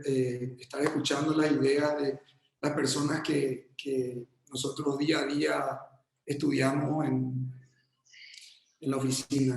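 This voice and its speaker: A man's voice: medium pitch, gravelly, darkish tone. Echoey Paraguayan man on a video call. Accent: Paraguayan Spanish. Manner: halting, repeats words, friendly. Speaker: guest architect lecturer. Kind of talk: casual remote lecture.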